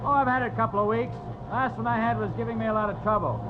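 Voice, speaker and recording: Evasive oil driller under questioning, male actor, higher voice, plain folksy speech, tinny vintage radio serial. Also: steady tone, racing, music in the background, close to an everyday voice. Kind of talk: 1950s radio crime drama acting. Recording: noisy, narrow-band vintage radio recording.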